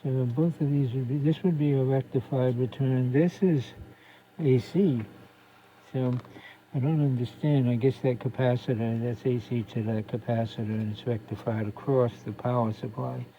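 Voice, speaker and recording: A man talking casually through a hands-on repair demonstration, halting as he thinks aloud, recorded on a phone camera. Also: mid-range voice, fairly even intonation. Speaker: radio repair hobbyist. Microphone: phone camera propped near the workbench. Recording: lo-fi phone recording with faint noise.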